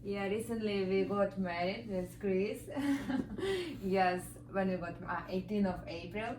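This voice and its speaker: A woman's voice: mid-range voice, expressive, slow pace. A woman from Ukraine with a Ukrainian accent, telling her story in simple, hesitant English. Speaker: wife sharing her story. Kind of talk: casual testimonial. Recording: echoey room with clear background noise.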